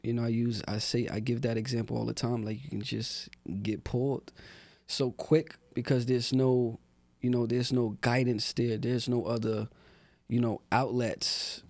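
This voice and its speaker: A man's voice: mid-range voice, measured pace, creaky, no echo. A young man from Newark talking in a laid-back, casual way with lots of fillers, as a podcast guest. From Newark, New Jersey. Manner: laid-back, relaxed street talk with many fillers. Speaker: rapper and guest. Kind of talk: casual interview talk.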